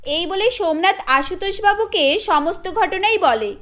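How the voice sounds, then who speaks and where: A woman narrating a Bengali cartoon story in a steady, explanatory storytelling way. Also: very high voice, measured pace.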